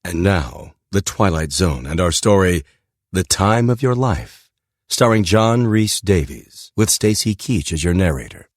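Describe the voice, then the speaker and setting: Deep, dry male announcer. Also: big pitch swings, unhurried, dry recording. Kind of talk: scripted radio drama announcement.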